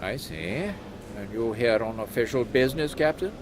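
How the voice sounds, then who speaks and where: A man's voice: mid-range voice, expressive, normal speed, noisy background, natural voice. A man playing an officious Victorian London policeman in a comic audio drama, matter-of-fact and dryly grim.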